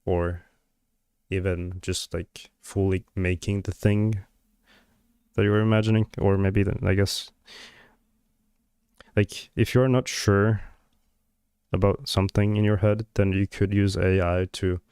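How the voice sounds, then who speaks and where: Low, flat-voiced man musing. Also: a little slow, smooth, no echo, studio quality. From Georgia. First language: Georgian. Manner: hesitant, many fillers and trailing thoughts. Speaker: streamer. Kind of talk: thinking aloud while working on a live stream.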